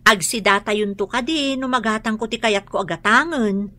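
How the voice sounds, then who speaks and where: A woman's voice: on the high side, normal speed, bright, forceful tone. A woman playing an evasive, stubborn wife and mother in a radio drama, with an Ilocano accent.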